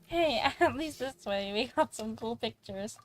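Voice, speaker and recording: A woman's voice: fairly high pitch, animated, a little slow, a crowd in the background. A woman streaming a game, chatting casually with giggly, exclaiming reactions. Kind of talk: casual livestream chat and reactions.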